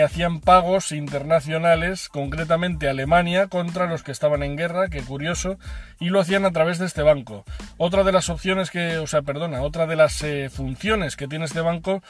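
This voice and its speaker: Chatty man, fairly high voice. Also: normal speed, soft background music. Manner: chatty, frequent fillers and self-corrections, ironic asides. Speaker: host of a finance channel. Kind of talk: informal explainer to camera.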